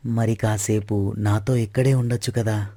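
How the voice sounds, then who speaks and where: Devoted storybook prince, male actor: lowish, soft-edged mellow voice, earnest, dry recording. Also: steady tone, unhurried, no echo, lightly acted. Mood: romantic, devoted.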